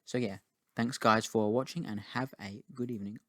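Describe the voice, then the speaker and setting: A man's voice: on the low side, steady tone, moderate pace, fairly bright tone, dry recording, clean studio sound. A man giving a casual spoken film review, rambling and chatty, with many restarts and casual swearing.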